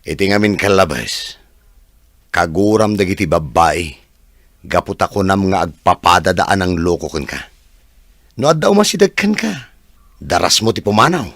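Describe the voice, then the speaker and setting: A man's voice: deep voice, expressive, normal speed, pauses often, a bit bright, no echo, studio quality, exaggerated voice. An actor playing a frank, teasing male best friend in a radio drama, with a northern Philippine accent.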